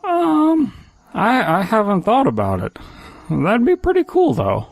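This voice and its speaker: A man's voice: high voice, wildly swooping pitch, a little slow, creaky, slight background noise, exaggerated voice. A man acting an awkward drifter with a swooping voice. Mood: unsure. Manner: hesitant, modest. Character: awkward, self-effacing drifter who cannot hold a job. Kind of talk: audio comedy skit dialogue.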